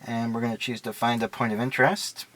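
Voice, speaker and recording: A man's voice: mid-range voice, flat delivery, quick pace, a bit bright. A man giving a casual, unscripted product walkthrough in a matter-of-fact, explanatory way. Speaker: product reviewer and demonstrator.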